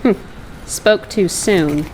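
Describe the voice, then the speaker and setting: A woman's voice: fairly low pitch, very slow, darkish tone, slight background noise, rough recording. A woman voicing a young female vigilante in a comic dub, wry and compassionate, reflecting in inner narration.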